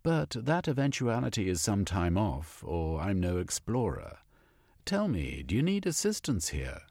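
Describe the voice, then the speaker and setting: Man doing a pompous Victorian gentleman explorer. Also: fairly low pitch, huge pitch swings, measured pace, dry recording, studio quality, voice pushed up, exaggerated voice. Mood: bluff. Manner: jaunty, upper-class bluster.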